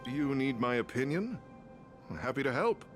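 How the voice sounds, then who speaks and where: An actor playing an earnest, indignant city guard soldier in a video game, speaking formally and emphatically. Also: male voice, medium pitch, big pitch swings, normal speed, natural voice. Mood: worried, helpful. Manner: emphatic, formal, dramatic delivery. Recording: music behind the voice. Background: background music.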